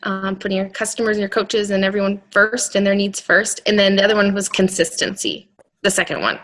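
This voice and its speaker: A woman's voice: mid-range voice, brisk, fairly bright tone. A woman coach speaking as a guest on a video team call, giving an upbeat pep talk in short, punchy directives.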